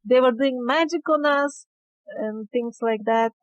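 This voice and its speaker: High-voiced woman, slow and unhurried. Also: no room sound, lo-fi sound. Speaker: interview guest. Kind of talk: conversational interview answers.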